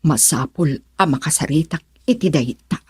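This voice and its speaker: A woman's voice: sits low, normal speed, close to an everyday voice. An actress playing a worried, suspicious woman brooding aloud in an Ilocano radio drama monologue. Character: worried suspicious woman brooding over her absent partner.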